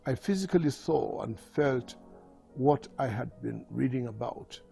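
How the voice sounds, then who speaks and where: An older man with a Nigerian accent reading his memoir aloud in a measured storytelling manner. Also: medium pitch, expressive, vocal fry, mellow, soft background music. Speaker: author reading from his autobiography.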